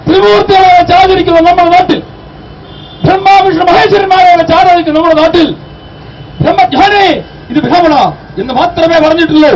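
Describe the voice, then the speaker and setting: A man delivers a declamatory public speech in an extremely high pitch. He exhorts the crowd with rhetorical questions. The mic sounds distant, with faint outdoor street noise behind him. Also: fairly even intonation, brisk, vocal fry, pauses often, lo-fi sound. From Kerala, India. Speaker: activist, public speaker. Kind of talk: impassioned public address.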